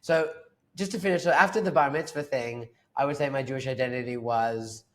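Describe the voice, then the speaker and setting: A man's voice: mid-range voice, fairly quick, a bit bright. A man, a painter guesting on a podcast, talking casually and rambling with many fillers. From Australia. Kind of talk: casual interview talk.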